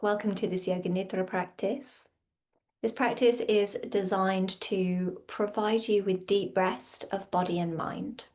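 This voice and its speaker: A woman leads a guided meditation in a soft, slightly breathy voice of medium pitch. She speaks very slowly, in short soothing phrases. Long, unhurried pauses separate her instructions. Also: fairly even intonation. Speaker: meditation and yoga teacher.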